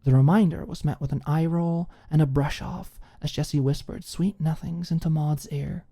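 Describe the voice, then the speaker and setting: A woman's voice: sits low, fairly even intonation, moderate pace, dark tone, slightly rough. A woman narrating a scripted story in the style of an ASMR audiobook.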